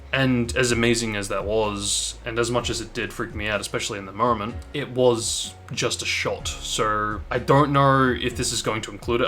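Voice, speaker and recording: Man, fairly low voice, casual gaming commentator, thoughtful explaining, soundtrack music playing behind. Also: bright, forceful tone. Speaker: gaming video host. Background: game cutscene soundtrack.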